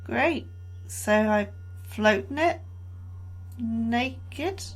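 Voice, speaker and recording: A woman's voice: a little high, lively intonation, very slow, soft background music, heavily acted. Anxious, sardonic woman scientist. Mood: uneasy, sarcastic. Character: anxious, sardonic young female scientist on probation. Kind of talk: audio fiction acting.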